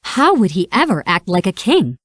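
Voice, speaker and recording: A woman narrating a read-along storybook in an animated, expressive way. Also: medium pitch, normal speed, no echo, clean studio sound.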